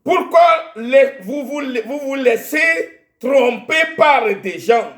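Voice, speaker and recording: A man from Togo whose first language is Ewe delivers a sermon in French with a Togolese accent. His voice sits very high and he speaks unhurriedly, halting and repeating words as he goes. The recording is echoey, as if made in a reverberant room. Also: lively intonation, a bit bright. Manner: slow and halting, repeats words while searching for them. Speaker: preacher. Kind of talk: sermon with Bible reading.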